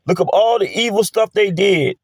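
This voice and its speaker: A man's voice: high-pitched, moderate pace, gravelly, breathy edge, dry recording. Man, preachy street talk, dry recording. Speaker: vlogger. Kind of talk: casual monologue to camera.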